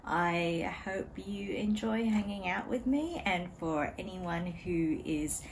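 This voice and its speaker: A woman chatting casually to camera as a knitting vlogger at home, relaxed and chatty with frequent ums and small laughs. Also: on the low side, slow pace, slight background noise, low-quality recording. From Australia. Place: home room beside a desk.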